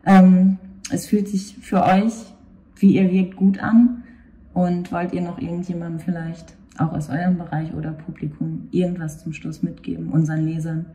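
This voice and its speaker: A woman's voice: fairly low pitch, little pitch movement, moderate pace, warm tone. A woman interviewing guests informally on location, in conversational speech. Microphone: handheld interview microphone.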